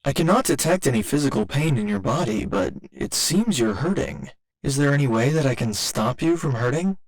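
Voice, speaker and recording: A man voicing a gentle robot boyfriend in an ASMR roleplay, with formal, clinical phrasing delivered tenderly and a little hesitantly. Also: mid-range voice, expressive, fairly quick. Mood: clinical, measured. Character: gentle male robot boyfriend learning to feel love.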